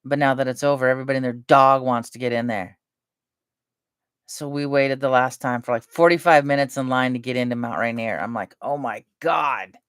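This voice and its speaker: A soft-spoken woman chatting casually and rambling between topics on a live stream. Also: extremely low pitch, fairly even intonation, brisk, clean tone, no room sound, studio quality.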